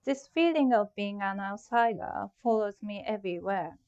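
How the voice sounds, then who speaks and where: A woman's voice: medium pitch, normal speed, a little mellow, clear, resonant tone, dull audio. A middle-aged woman from Japan with a Japanese accent, giving a prepared, reflective talk about her own art. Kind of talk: prepared artist talk presentation.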